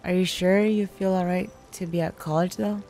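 A woman's voice: on the low side, steady tone, normal speed, mellow, soft background music, close to an everyday voice. A young woman playing a casual, concerned college friend in an audio drama. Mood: sympathetic.

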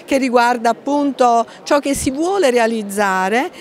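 A woman's voice: a little high, animated, unhurried. A middle-aged woman giving explanatory remarks as a conference speaker.

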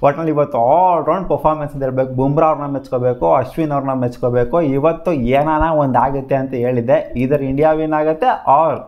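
A young man, giving a casual, animated cricket match analysis in a small home studio. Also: mid-range voice, brisk, few pauses, muffled recording. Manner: animated, gesturing, mixes English words. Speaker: cricket channel host. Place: small home studio with gaming chair and lamps.

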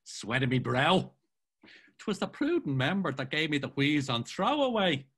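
An actor with an Irish accent playing a jaunty Dublin drinker who trades gossip, read over a video call. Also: male voice, fairly high pitch, sing-song, moderate pace, fairly bright tone, breathy edge, heavily acted. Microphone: video call webcam.

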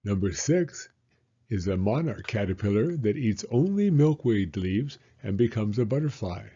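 Man, 60s, children's storyteller: low-pitched, raspy, measured delivery, slightly dull recording. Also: sing-song, mellow. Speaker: story reader and host, elementary school teacher. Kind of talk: children's picture-book read-aloud.

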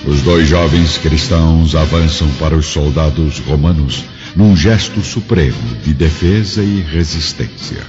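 A man reading scripted dramatic narration as a narrator, solemn and measured. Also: rumbling low. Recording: dull audio with music behind.

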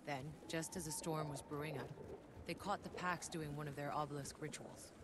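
An actor playing a hardened, resolute soldier leading an expedition in a video game, with a terse, commanding manner. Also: male voice, fairly high pitch, steady tone, normal speed, noisy background, lightly acted. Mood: grim, informative. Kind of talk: video game dialogue, voice acting. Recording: loud game sound effects behind.

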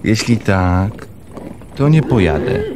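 A man's voice: on the low side, big pitch swings, takes it slowly, noisy background. A man playing a surly, stolid village sleigh driver in a radio drama, terse and grudging.

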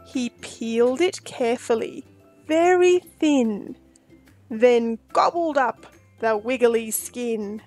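A woman in her forties reads a picture book for children. Her voice is high and she takes her time, shaping each phrase with deliberate expression. There is faint music behind her. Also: expressive, darkish tone. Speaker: storytime host and reader.

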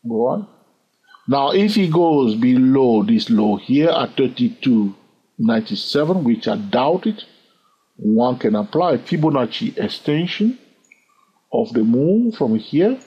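Husky, lively man teaching chart trading. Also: mid-range voice, measured pace. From lives in London. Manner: animated, asks rhetorical questions, chuckles. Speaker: trading educator. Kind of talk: screen-recorded chart lesson. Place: at a computer, voice over screen. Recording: dull lo-fi sound.

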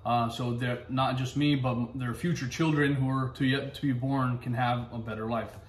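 A young man sitting at home, telling his own story to camera in a relaxed way with lots of fillers. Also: medium pitch, normal speed. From Pakistan, raised in the United States. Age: young adult. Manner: relaxed, many fillers, gestures with hands while talking. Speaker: medical resident vlogger. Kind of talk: casual personal storytelling to camera. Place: living room at home, indoors. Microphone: camera in front, seated. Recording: echoey room.

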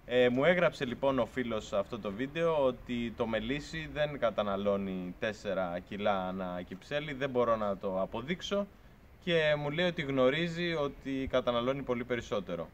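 A man in his thirties speaks to the camera outdoors, earnest and a little argumentative as he makes his case. His voice sits in a middle pitch. The recording is slightly dull and lo-fi, picked up from a camera a few steps away. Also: animated, a little hiss or hum. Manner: earnest, argumentative, gestures while talking. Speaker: beekeeping channel host. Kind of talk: casual talk to camera. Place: outdoors by a pickup truck at a farm shed.

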